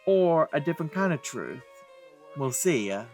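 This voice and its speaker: A man's voice: fairly high pitch, big pitch swings, moderate pace, darkish tone. A man hosting a let's play, giving casual commentary in rambling, informal asides. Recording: game music behind.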